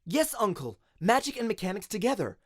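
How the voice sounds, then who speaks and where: Eager, high-pitched young boy. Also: fairly quick, no room sound, clean studio sound. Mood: earnest, insistent. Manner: excited, insistent, earnest. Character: eager, bright young boy, naive apprentice nephew.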